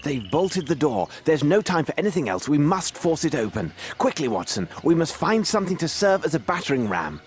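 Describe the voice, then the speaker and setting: An actor playing a shrewd, self-assured Victorian detective with a British accent in an adventure game, speaking precisely and formally as if musing aloud. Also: male voice, fairly high pitch, big pitch swings, a little fast, few pauses. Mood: urgent, commanding. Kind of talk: scripted adventure game dialogue.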